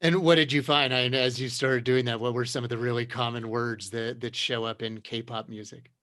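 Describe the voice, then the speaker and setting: A man's voice: medium pitch, flat delivery, a little fast, fairly bright tone, no echo. A man hosts an online panel in a chatty, encouraging way, often stumbling and restarting as he asks questions. His voice sits mid-range and sounds close and dry. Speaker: moderator. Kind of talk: hosting an online round-table.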